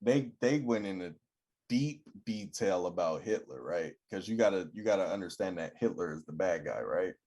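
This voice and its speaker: A man's voice: deep voice, normal speed. A young man co-hosting a podcast, chatting casually and acting out the people in his stories. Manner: animated storyteller, acts out quoted people. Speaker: podcast co-host. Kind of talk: casual chat.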